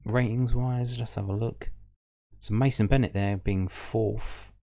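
Man, very deep voice, casual fan host, reading out stats, musing as he goes. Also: steady tone, a little slow, vocal fry, dark tone. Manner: musing, thinking aloud over a stats table.

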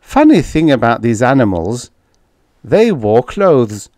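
A man's voice: medium pitch, sing-song, slow pace, a little mellow, no room sound, clean studio sound, pitched above the performer's own voice. A man playing a tough, sarcastic diplomat in an audiobook, pressing and snapping with blunt accusations. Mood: icy sarcasm. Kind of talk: audiobook character dialogue.

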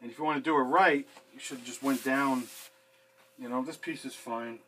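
A man's voice: medium pitch, steady tone, moderate pace, a bit bright, a little hiss or hum, low-quality recording. A man in his thirties working on a sink in a small basement room and chatting casually to a camera a few feet away, rambling and swearing freely. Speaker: handyman fixing a sink. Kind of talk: casual how-to video with running commentary. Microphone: fixed camera a few feet away.

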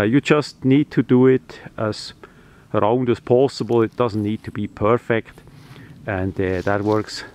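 A man explains things informally and hands-on, in halting, phrasing. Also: fairly low pitch, a little mellow. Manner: halting, hesitant phrasing with non-native grammar. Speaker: radio hobbyist presenter.

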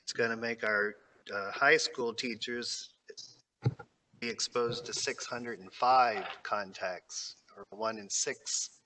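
Earnest man with a bright voice. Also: medium pitch, rough recording. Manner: earnest, reasoned, cites figures. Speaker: school board member. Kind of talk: remarks at a board meeting on video call. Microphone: video call.

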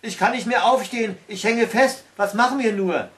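A man voicing frightened, excitable children in a storybook, loud and exclaiming. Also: high-pitched, normal speed, recorded from a distance, pitched above the performer's own voice. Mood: despairing. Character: frightened, despairing storybook children crying for help.

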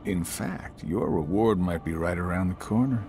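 A man's voice: sits low, moderate pace, warm tone, soft background music. A man voicing a sardonic, taunting middle-aged villain in a video game, with a drawling delivery. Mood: mocking, lecturing. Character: sly, mocking one-eyed middle-aged male villain. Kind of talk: English video game cutscene acting.

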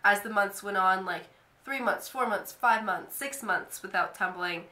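A woman's voice: medium pitch, a little slow, bright, forceful tone, echoey room. A young woman vlogging to camera from her bedroom, talking casually with many "like" fillers, a little nasal from a cold. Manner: chatty, lots of "like" fillers. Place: bedroom, indoors.

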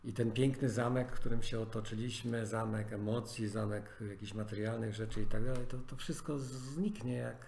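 A man's voice: on the low side, fairly even intonation, normal speed, few pauses, a little mellow, a little hiss or hum. A man coaching in a podcast conversation, giving earnest advice with many fillers and restarts. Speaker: life coach.

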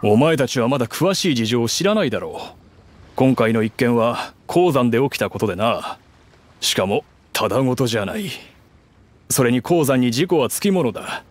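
An actor playing a gruff, confident middle-aged working man in an anime game, casual and plain-spoken. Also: medium pitch, animated, moderate pace, close to an everyday voice. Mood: serious. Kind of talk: Japanese anime game voice acting. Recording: faint music behind the voice.

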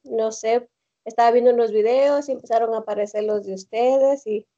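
Young mexican woman on a muffled video call, highish mellow voice, lots of ums and restarts. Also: steady tone. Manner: halting, many fillers and restarts. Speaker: caller. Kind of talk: hesitant personal testimony on a video call. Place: home room indoors. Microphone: laptop or phone on a video call. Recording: dull lo-fi video call audio.

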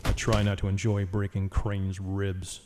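An actor playing a grim, stern vigilante detective in a noir radio drama, terse and brooding. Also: male voice, deep voice, completely flat delivery, measured pace, heavy background noise.

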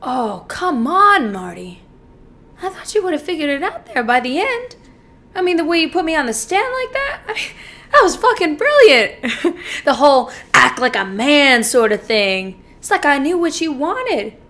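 High, wildly swinging manipulative killer played by a woman. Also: sing-song, pushed and bright, heavily acted. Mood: mocking. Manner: foul-mouthed, taunting, theatrical, halting. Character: manipulative killer client, meek at first, then gloating and mocking. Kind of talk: dramatic film monologue performance. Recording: faint noise and low rumble.

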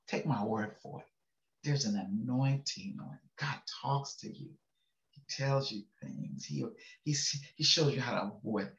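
An older male pastor giving a casual, folksy devotional sermon from his home study, chuckling and checking in with listeners. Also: mid-range voice. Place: home study with bookshelves, indoors. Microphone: webcam on a video call. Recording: echoey room, slightly dull webcam sound.

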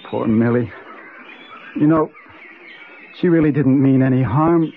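An actor playing an agitated, frightened educated husband in an old-time radio drama, urgent and insistent. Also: male voice, medium pitch, unhurried. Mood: jumpy, anxious. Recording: muffled, noisy old radio recording.

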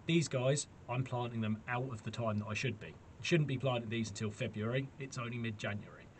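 A man's voice: mid-range voice, steady tone, a little fast. A man in his forties with a British accent giving a casual, chatty, self-deprecating gardening demonstration to camera. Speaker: allotment gardening vlogger. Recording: clearly noisy camera sound with clicks.

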